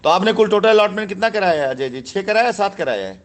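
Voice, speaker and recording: Man, high-pitched, authoritative, interrupting, repetitive, company boss, phone mediation, recorded call. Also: lively intonation, quick pace, a little hiss or hum. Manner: authoritative, interrupting, repeats himself.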